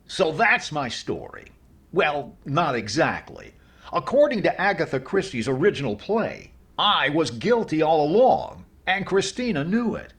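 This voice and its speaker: A man's voice: a little high, big pitch swings, fairly bright tone, full character voice. A man playing a wry, self-satisfied comedian telling his tale in an old-time radio comedy. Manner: wry, measured storytelling.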